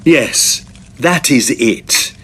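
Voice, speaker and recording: A man's voice: mid-range voice, expressive, very slow, sharp tone, breathy edge, exaggerated voice. A man playing a resolute young Oxford student in a Victorian audio drama, with a stern, clipped, commanding delivery. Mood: coldly threatening. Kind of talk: audio drama acting and first-person narration.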